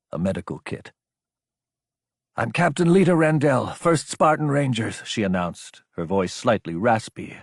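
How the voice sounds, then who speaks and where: A man's voice: on the low side, no echo, studio quality. A man reading action fiction as a dramatic, scripted audiobook narration.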